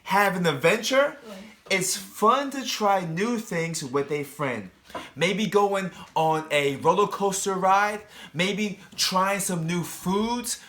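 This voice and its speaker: Slow-paced, high-voiced man reading to children. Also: pushed and bright. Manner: addresses young children directly, asking questions. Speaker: teacher reading a picture book aloud. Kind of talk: children's read-aloud with chatty asides.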